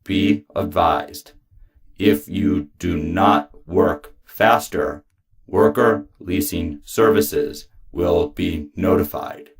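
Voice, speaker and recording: A man with a very deep voice recites poetry at a slow pace. His delivery is flat and official, laid out in clipped phrases like a form being read aloud. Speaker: poetry reader.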